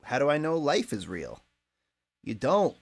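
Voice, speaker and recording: A man in his late twenties who hosts a casual podcast and muses aloud in a laid-back way, swearing as he goes. His voice sits slightly high. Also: huge pitch swings, a little slow, vocal fry. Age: late 20s. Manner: laid-back, musing, profane. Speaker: podcast host. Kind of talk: casual chat.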